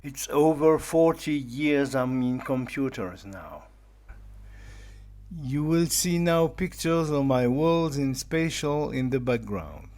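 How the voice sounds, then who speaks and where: A man in his fifties from France with a French accent, whose first language is German, reading a personal pitch about his life as a digital artist in slow, simple sentences of his second language. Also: expressive, a little mellow. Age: 50s. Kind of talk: personal pitch, read aloud.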